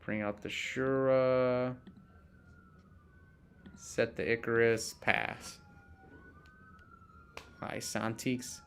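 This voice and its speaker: A man's voice: mid-range voice, steady tone, extremely slow, gravelly, pauses often, fairly bright tone, clear, resonant tone. A man casually commentating a card game he is playing live, thinking aloud and chatting with viewers as he goes.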